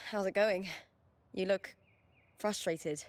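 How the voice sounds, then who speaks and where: A woman playing a confident, composed female warrior who guides others in a video game. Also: medium pitch. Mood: reassuring. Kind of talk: scripted video game dialogue.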